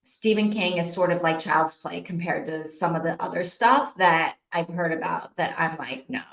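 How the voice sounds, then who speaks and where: A woman's voice: lowish voice, moderate pace, vocal fry. A woman hosting a casual live stream, chatting informally and responding to viewers as she goes. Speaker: host of a live reading-sprint stream. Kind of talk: casual chat, reading out and answering viewer comments. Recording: roomy, echoing sound.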